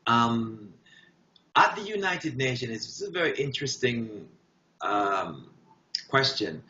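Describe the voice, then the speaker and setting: A man speaking as an interview guest, giving impassioned, emphatic answers in short, deliberate, declarative phrases. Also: mid-range voice, sing-song, bright, forceful tone. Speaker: guest artist. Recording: dull, roomy echoing sound.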